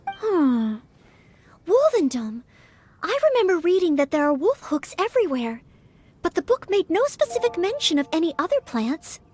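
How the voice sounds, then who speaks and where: An actress playing an earnest, studious, polite young maid-knight in a video game, speaking with careful courtesy. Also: female voice, extremely high pitch, sing-song, moderate pace, a little mellow. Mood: pondering. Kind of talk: video game character acting.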